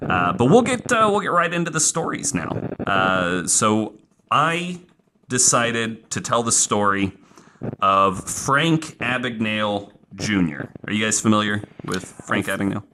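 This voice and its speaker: A man hosting a podcast, telling a true story casually in an informal, halting way with some self-corrections. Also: on the low side, animated. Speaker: podcast host. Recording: faint background noise.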